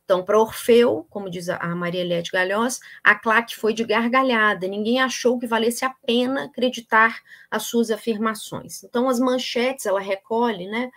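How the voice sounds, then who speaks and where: Woman, middle-aged, academic lecture, hesitant with many fillers and restarts, video call audio. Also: medium pitch.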